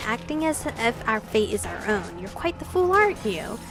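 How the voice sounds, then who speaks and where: A woman voicing furious, foul-mouthed male vampires threatening each other in a visual novel, loud and aggressive, over music. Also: fairly high pitch, sing-song, normal speed. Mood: menacing, taunting. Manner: shouted, aggressive.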